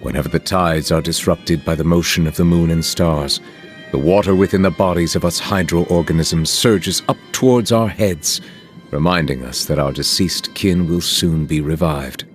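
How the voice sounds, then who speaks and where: An actor playing a solemn, courteous chief justice in a fantasy video game, speaking in a formal, measured, eloquent and reserved manner. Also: male voice, deep voice, soft background music, close to an everyday voice. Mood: reflective, solemn. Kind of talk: scripted video game character lines.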